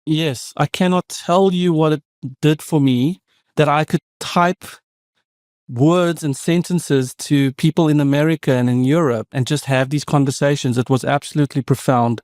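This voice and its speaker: A man's voice: on the high side, steady tone, moderate pace, darkish tone, dry recording, studio quality. A man in his fifties from South Africa, with Afrikaans as his first language and a South African accent, telling stories as a guest on a podcast in a reflective, meandering way with many asides. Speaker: podcast guest, tech founder. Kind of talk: conversational interview storytelling.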